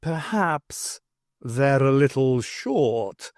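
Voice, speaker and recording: A man's voice: mid-range voice, lively intonation, drawn-out words, a little mellow, no room sound, studio quality. A man voicing a languid, haughty male cat who talks in a formal, wordy drawl in an audiobook. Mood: dry.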